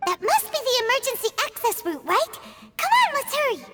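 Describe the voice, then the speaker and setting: A woman voicing a tiny, excitable, childlike fairy companion in a video game, with a squeaky, eager, chirpy delivery. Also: fairly quick, exaggerated voice. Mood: excited. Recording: prominent music under the voice.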